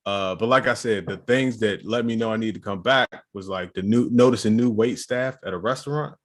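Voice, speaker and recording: A man with a fairly low voice trades easygoing, slang-filled banter as a podcast co-host. His talk is loose and relaxed, full of quick asides and friendly jokes. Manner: laid-back slangy banter. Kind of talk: casual chat.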